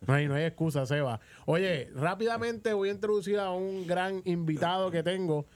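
A man's voice: fairly high pitch, a little mellow, clear, resonant tone. A man with a Puerto Rican accent hosting a casual sports interview on the radio, chatty and often repeating words as he works out his phrasing. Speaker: radio show host and interviewer. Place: radio booth.

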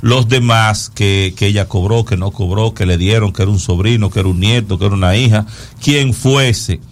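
A man's voice: fairly low pitch, normal speed. A man with a Dominican accent giving conversational news commentary as a talk show host, explaining things and repeating words for emphasis.

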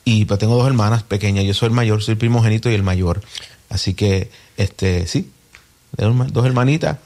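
A man from New Jersey, a musician guest, talking about his family in a relaxed, rambling way. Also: on the low side, a little fast. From New Jersey, United States. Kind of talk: casual interview.

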